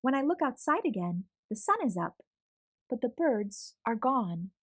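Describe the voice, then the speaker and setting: A young woman reads a children's picture book aloud with expressive, animated delivery. Her voice sits a little high and moves lively through the lines. The sound is close and dry. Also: a little slow, no room sound. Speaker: storyteller.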